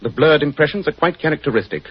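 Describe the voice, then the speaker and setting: A man playing a keen, composed gentleman detective with a British accent, speaking precisely and formally in 1940s radio style. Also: fairly high pitch, lively intonation, quick pace, husky. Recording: dull, muffled 1940s radio recording.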